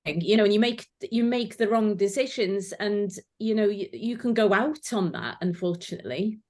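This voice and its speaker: A woman's voice: mid-range voice, animated, normal speed, darkish tone. A woman whose first language is Welsh, chatting casually as a guest and telling stories with many fillers. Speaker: guest, former sewing contestant. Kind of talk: casual chat.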